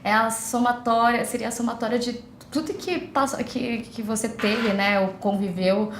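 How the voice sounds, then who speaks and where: A woman's voice: a little high, fairly even intonation, normal speed, background noise. A Brazilian woman entrepreneur talking hesitantly and reflectively in a documentary interview. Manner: hesitant, reflective, frequent fillers. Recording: roomy interview room.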